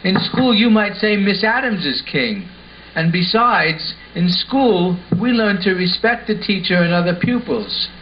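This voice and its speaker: A man's voice: high voice, animated, unhurried. A man playing an earnest, sensible schoolboy in a scripted puppet show, explaining things instructively. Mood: patient, explanatory. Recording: dull lo-fi sound with faint noise.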